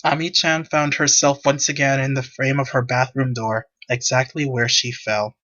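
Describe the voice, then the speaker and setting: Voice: medium pitch, level tone, moderate pace, a bit bright, lo-fi sound. A narrator reading scripted visual novel prose. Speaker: narrator and reader of all parts. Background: background music.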